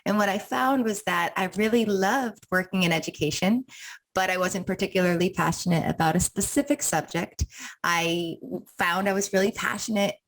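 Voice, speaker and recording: A woman's voice: mid-range voice, normal speed, poor audio. A young woman from Rhode Island, a counselor, talking thoughtfully as an interview guest with many ums. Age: young adult. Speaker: counselor and wellness coach.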